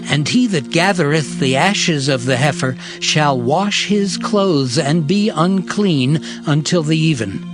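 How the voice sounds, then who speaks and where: A man playing a solemn prophet reciting ritual law deliberately in a dramatized scripture reading. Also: on the high side, expressive, music in the background.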